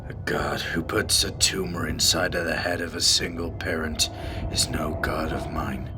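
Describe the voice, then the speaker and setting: A man playing a desperate, defiant captive father in an audio drama, speaking in a strained, halting way. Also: very deep voice, fairly even intonation. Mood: weary, defiant. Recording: clear background noise and ambience.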